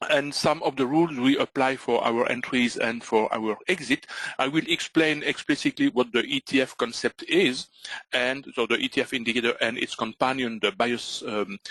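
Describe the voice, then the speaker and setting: A man's voice: medium pitch, fairly bright tone, dry recording, rough recording. A middle-aged Belgian man, not a native English speaker, presenting a live trading webinar with many fillers and restarts. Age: middle-aged or older.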